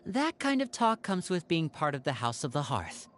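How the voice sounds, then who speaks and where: A woman voicing a composed young intelligence agent in a video game, explaining things calmly. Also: sits low, moderate pace, a little hiss or hum.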